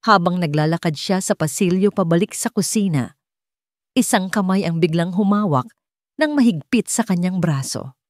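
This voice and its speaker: Female narrator for dramatic audio story: slightly low pitch, very expressive intonation. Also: moderate pace, no echo, clean studio sound.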